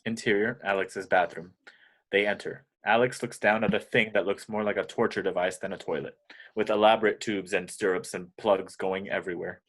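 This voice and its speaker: A man's voice: lowish voice, steady tone, fairly quick, a bit bright. A man narrating scripted stage directions at an amateur table read.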